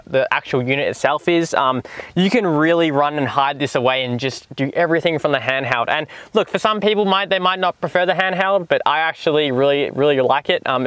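A young Australian man in his twenties presents a casual vlog. His voice sits a bit high for a man, and he talks in an informal, enthusiastic way, as if chatting to mates. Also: a bit bright, smooth, no room sound. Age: 20s. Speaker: vlogger and presenter. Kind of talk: casual vlog review to camera. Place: in a car and outdoors in the bush.

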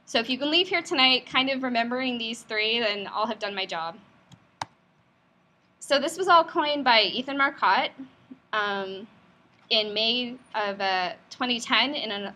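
A woman giving a conversational tech talk at an office meetup, friendly and upbeat, with plenty of fillers and questions to the audience. Her voice sits a little high and sounds bright and forceful. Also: moderate pace. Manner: casual with frequent fillers. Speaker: meetup presenter. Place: company office meetup room.

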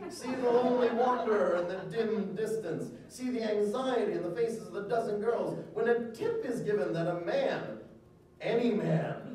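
A man's voice: sits high, a little mellow. A middle-aged man giving a melodramatic, mock-pleading comic reading of old satire on stage. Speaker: reader at a live literary reading. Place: museum lecture hall with live audience. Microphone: stand microphone on stage, distant camera recording. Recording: very echoey hall, distant, audience noise.

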